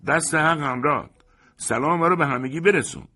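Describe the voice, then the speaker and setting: A man voicing an excitable, smug groomsman in a story, loud, effusive and insistent. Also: on the high side, soft background music, voice pushed up. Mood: triumphant. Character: excitable groomsman, smug bully turned flustered, pleading flatterer.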